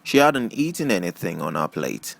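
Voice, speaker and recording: A man's voice: sits low, sing-song, normal speed, vocal fry, fairly bright tone, dry recording. A man reading a first-person novel aloud as scripted audiobook narration. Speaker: audiobook reader.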